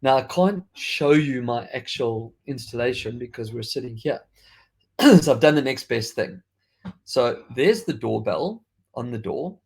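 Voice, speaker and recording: A man with a faded South African accent and a gravelly, husky voice, hosting a tech podcast. He talks in a chatty, rambling way, often restarting his sentences. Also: mid-range voice, lively intonation, a little slow, darkish tone. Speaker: podcast host. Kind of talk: casual tech chat.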